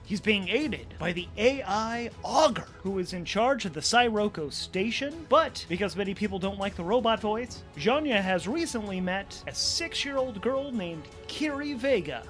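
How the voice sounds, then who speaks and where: A man's voice: high voice, lively intonation, moderate pace, hardly any pauses, a bit bright, faint music behind. A man narrating and commenting as host and player of a solo tabletop role-playing game, conversational and enthusiastic.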